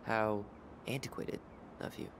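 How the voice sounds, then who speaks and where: A young man with a low voice, guarded and sardonic. His lines come out dry and clipped over clearly audible ambient noise. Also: steady tone, unhurried. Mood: sardonic, bitter. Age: young adult. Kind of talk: naturalistic video game acting.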